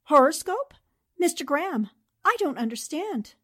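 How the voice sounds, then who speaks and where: An actress playing a spirited, indignant newspaper columnist in a theatrical 1940s radio-drama style. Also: female voice, sits high, normal speed. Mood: puzzled. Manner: expressive, theatrical. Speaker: actor in an audio drama.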